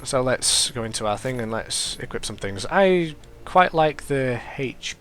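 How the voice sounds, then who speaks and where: Sniffly young gaming commentator. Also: male voice, medium pitch, a little slow, slight background noise. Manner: rambling, self-correcting, excitable reactions. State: congested, sniffly. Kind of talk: casual gameplay commentary.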